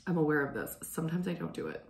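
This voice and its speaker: A young adult woman hosting a knitting show, chatting casually and rambling, hoarse and clearing her throat often. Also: sits low, fast. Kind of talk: casual chat. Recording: echoey room.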